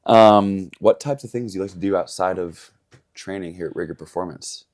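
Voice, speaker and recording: A man's voice: low-pitched, normal speed, darkish tone. A man coaching at a gym, casually interviewing a member with friendly, teasing questions.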